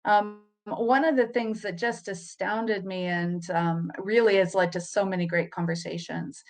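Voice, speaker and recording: A woman's voice: medium pitch, steady tone, a little slow. A woman hosting a casual interview, asking questions in a friendly, chatty way with easy laughs. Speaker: host.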